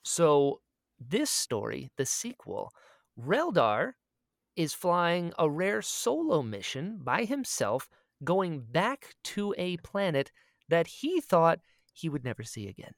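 Animated, self-deprecating man chatting casually. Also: on the high side, slow, creaky, dry recording, studio quality. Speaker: podcast co-host and audio drama creator. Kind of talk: casual chat.

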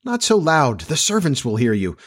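This is a man playing a gentle, anxious mother from a storybook. She pleads and reproves in a lifted, expressive pitch. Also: moderate pace, slightly rough. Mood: entreating.

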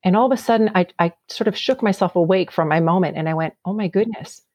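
A woman from Canada with a gravelly voice, a guest sharing a personal story in an earnest, heartfelt way. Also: fairly low pitch, fast talker, darkish tone, no room sound, lo-fi sound. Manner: earnest, heartfelt, often repeats words.

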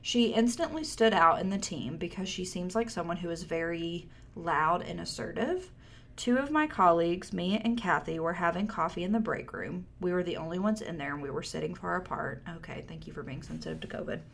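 A woman's voice: on the low side, animated, a little fast, creaky. A woman co-hosting a casual podcast, reading an online post aloud and adding wry asides. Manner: wry, reads with side comments. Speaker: podcast co-host.

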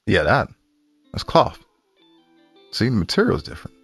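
Man, low raspy voice, animated, bantering podcast chat, dry close sound, faint music underneath. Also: normal speed, dry recording. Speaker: podcast co-host.

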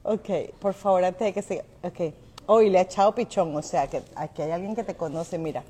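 A woman's voice: lowish voice, steady tone, a little fast, mellow, husky. A woman hosting a casual live interview, chatty and informal, repeating words for emphasis. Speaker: live show host. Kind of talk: casual livestream interview chat. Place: restaurant. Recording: faint background noise of a restaurant.

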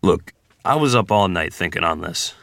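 Man acting earnest gravelly country ferryman groom. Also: sits low, expressive, a bit bright, dry recording, studio quality, heavily acted. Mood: uneasy, earnest. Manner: plain-spoken, halting, folksy. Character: earnest, awkward 1920s rural Missouri ferryman groom. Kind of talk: screenplay table read acting.

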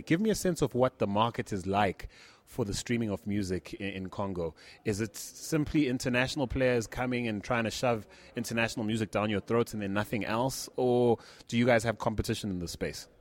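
A man hosting a conversational interview, putting questions to his guest. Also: fairly low pitch. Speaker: interviewer and show host.

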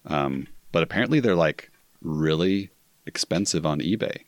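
A man's voice: rumbling low, animated, normal speed, dry recording, studio quality. A man guesting on a casual podcast, easygoing and enthusiastic as he tells stories.

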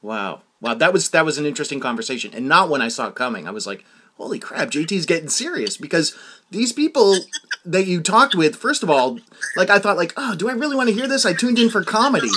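A man's voice: fairly high pitch, very animated, fast talker, pushed and bright. A man interviewing a podcast host in a casual, earnest way, often stammering and restarting his sentences.

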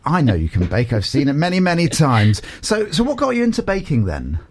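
A man's voice: fairly high pitch, huge pitch swings, fairly quick, darkish tone, breathy edge. A man from England hosting a local radio show, chatty and upbeat, rambling a little as he introduces his guest. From Portsmouth, England. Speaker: radio host.

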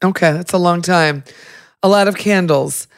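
A woman hosting a weekly news podcast, asking measured, friendly questions. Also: on the low side, dry recording, clean studio sound. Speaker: podcast host. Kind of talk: interview.

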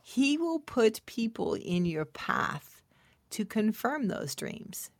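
Casting: middle-aged female inspirational speaker, unhurried delivery, emphatic testimonial style with intimate asides, dry close sound. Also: fairly high pitch, big pitch swings, dry recording. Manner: emphatic and testimonial, repeating phrases for weight; intimate, chuckling asides to the listener. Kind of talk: devotional talk addressed to listeners.

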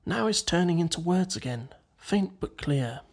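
A man's voice: fairly high pitch, lively intonation, moderate pace, breathy edge, voice pushed up. A man voicing a poetic water rat in a storybook, murmuring in a dreamy, languid way. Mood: rapt, chanting. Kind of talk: storybook character dialogue.

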